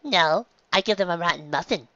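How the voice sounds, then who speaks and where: A woman's voice: lowish voice, a little fast, bright, forceful tone, dry recording, muffled recording, pitched above the performer's own voice. A woman voicing a gossipy, jealous talking mail bird from a cartoon, with a catty manner.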